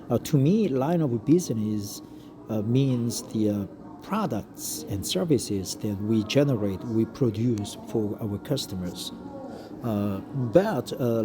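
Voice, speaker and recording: A middle-aged Korean man with a Korean accent reads English aloud for practice, his voice sitting at an ordinary middle pitch. He stops often on fillers and repeats phrases he likes. A steady hum of noise runs under the recording. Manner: hesitant, frequent uh fillers, pauses to savor phrases. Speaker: English learner reading aloud. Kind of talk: self-study reading practice with commentary. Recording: noticeable background noise.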